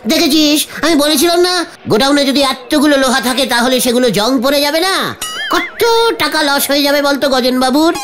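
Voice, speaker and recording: A woman voicing an excitable, scheming village uncle in a comic cartoon, muddling and stammering through the words. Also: high voice, a little fast, close to an everyday voice. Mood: wheedling. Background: music.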